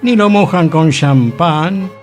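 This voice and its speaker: An old-time Buenos Aires man at a drinking gathering, as a male radio host reads him, declaiming rhyming verse with emphasis. He has a Rioplatense accent and a voice pitched a little high, and soft music plays behind him. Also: slow pace. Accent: Rioplatense Spanish.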